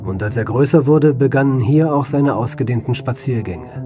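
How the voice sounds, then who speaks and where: An actor playing a grave, melancholic doctor with a measured, understated delivery in a German radio play. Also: male voice, medium pitch, animated, music in the background, natural voice. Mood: grave, reflective. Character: grave, melancholic family doctor recounting a patient's fate.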